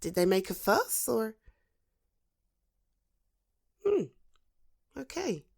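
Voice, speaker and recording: A woman's voice: fairly high pitch, very animated, creaky, darkish tone, husky, full character voice. Soothing, supportive British female friend acted by a woman. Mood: concerned, reassuring. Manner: soothing, encouraging, with thoughtful pauses. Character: supportive, protective female friend giving gentle reassurance. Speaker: voice actor in an audio roleplay. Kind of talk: ASMR roleplay, conversational one-sided dialogue.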